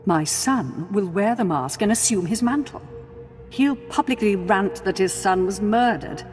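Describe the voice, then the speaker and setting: A woman's voice: medium pitch, expressive, unhurried, darkish tone, lightly acted. Imperious scheming noblewoman in a reverberant hall an actress. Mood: cold scheming. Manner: imperious, formal, dramatic. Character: proud, scheming Sith noblewoman and protective mother. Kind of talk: video game voice acting. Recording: long hall reverb, faint music.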